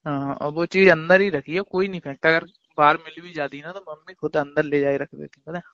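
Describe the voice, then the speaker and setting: A man's voice: fairly high pitch, steady tone, brisk, fairly bright tone, no room sound. Young man reassuring on a muffled phone call. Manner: pleading, reassuring. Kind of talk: casual phone chat between a couple. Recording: dull, dry phone call recording.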